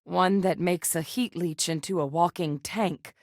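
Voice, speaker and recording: An actress playing a seasoned, determined woman scientist and soldier in a video game, speaking in an earnest, measured, matter-of-fact way. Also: on the low side, a little mellow, no room sound, studio quality. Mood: serious, measured. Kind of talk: video game character dialogue.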